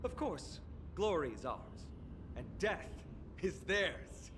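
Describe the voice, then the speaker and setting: An actor voicing a confident young male companion in a video game, speaking calmly and assuredly. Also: sits high, takes it slowly, background noise, heavily acted.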